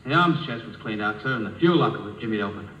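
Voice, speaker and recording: A man's voice: lowish voice, expressive, fast talker, close to an everyday voice. Man acting distant, dutiful radio spaceship crewman. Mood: anxious. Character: dutiful, anxious male spaceship crewman reporting to captain. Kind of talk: 1950s radio drama acting. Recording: distant, muffled, hissy, echoey 1950 radio broadcast.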